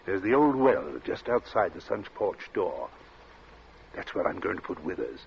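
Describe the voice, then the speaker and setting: An actor playing a cold, urbane captain in an old radio thriller, speaking in a suave, measured way with polite menace and small hesitant asides. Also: male voice, deep voice, big pitch swings, gravelly, heavily acted. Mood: coldly threatening. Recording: dull, noisy vintage radio recording.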